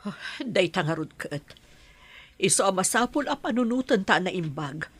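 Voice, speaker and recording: A woman with an Ilocano accent playing a worried middle-aged mother in an audio drama, in a husky voice. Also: mid-range voice, expressive, normal speed, no room sound. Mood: troubled. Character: worried mother guarding her adopted child.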